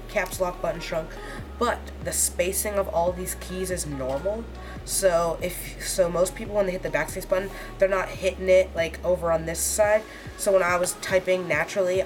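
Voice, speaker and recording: Hoarse low-voiced woman reviewing gadgets. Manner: rambling, halting, many fillers. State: hoarse, under the weather. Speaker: tech reviewer. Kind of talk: casual unboxing review.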